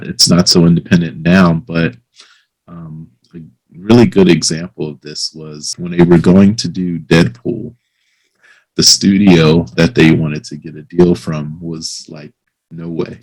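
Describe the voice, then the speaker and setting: A man hosting a casual interview, talking informally in a somewhat halting way. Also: sits low, level tone, darkish tone, poor audio. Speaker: interviewer, host.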